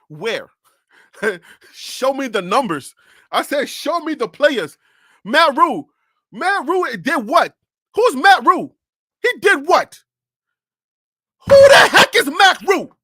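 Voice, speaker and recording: A sports show host from North Carolina on a live stream, firing off incredulous rhetorical questions and laughing between points. The voice is high and bright. The sound is close and dry, with no room around it. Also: dry recording.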